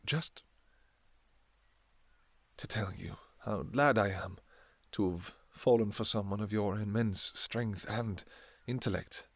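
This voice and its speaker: An ancient vampire king voiced by a man, tender and devoted to his family. He speaks very slowly in a lowish voice, soft and halting, full of endearments, as in an intimate romantic audio roleplay. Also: no echo, exaggerated voice. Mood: devoted, earnest. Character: tender, devoted two-thousand-year-old vampire king, doting father.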